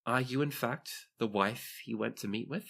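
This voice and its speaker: A man's voice: mid-range voice, moderate pace, gravelly, a bit bright, pitched above the performer's own voice. A man voicing a gracious young princess in a tabletop game, sincere and formal in courtly phrasing. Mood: probing, polite.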